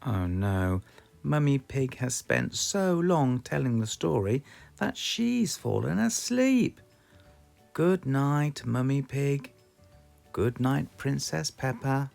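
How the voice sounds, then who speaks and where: A man with a British accent reading a children's storybook aloud in a slow, expressive, sing-song way. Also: mid-range voice, creaky, faint music behind. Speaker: storybook reader.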